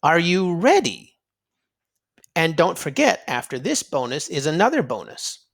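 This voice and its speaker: A man reading aloud to children in an expressive storybook style, dramatic and emphatic, drawing out words for effect. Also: on the high side, measured pace. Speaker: children's read-aloud narrator and quiz host.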